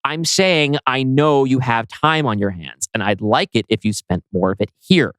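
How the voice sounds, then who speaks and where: A man's voice: medium pitch, moderate pace, a bit bright, smooth, no room sound, close to an everyday voice. A man voicing a weary, pushy but doting grandmother in her sixties in a script reading. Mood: frustrated. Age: 60s.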